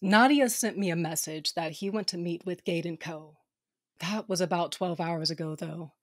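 A woman playing a tough, blunt female space fighter with a husky voice in an audio drama. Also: on the low side, normal speed, no echo, studio quality, natural voice. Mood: blunt, tense. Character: blunt, hard-swearing female space fighter, loyal comrade.

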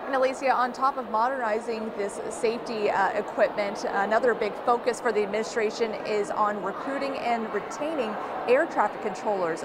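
A young woman giving a live TV news report as a correspondent, with a somewhat halting delivery. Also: fairly high pitch. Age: young adult. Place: large marble government rotunda indoors. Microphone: lapel mic. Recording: loud ambient hall noise.

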